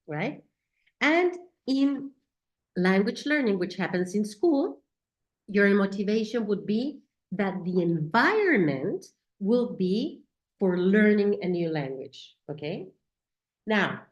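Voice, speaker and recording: A woman whose first language is Spanish, speaking English with a Spanish accent as she gives a talk on teaching, often checking in with "right?" and "okay?". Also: medium pitch. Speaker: teacher trainer. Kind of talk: educational talk. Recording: echoey room.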